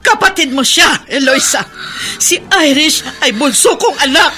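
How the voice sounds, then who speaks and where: A woman's voice: high-pitched, expressive, measured pace, bright, forceful tone, soft background music, exaggerated voice. A woman acting an anguished, pleading mother in an emphatic, melodramatic radio drama. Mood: anguished confession. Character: anguished mother confessing a long-hidden family secret.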